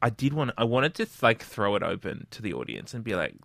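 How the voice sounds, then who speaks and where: A man co-hosting a podcast, his voice bright and forward, swinging up and down in pitch as he teases and spins stories. Recorded dry and close on the mic, with no room sound. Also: brisk, vocal fry, no room sound. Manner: chatty, teasing, animated storytelling. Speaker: podcast co-host. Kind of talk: casual gaming chat.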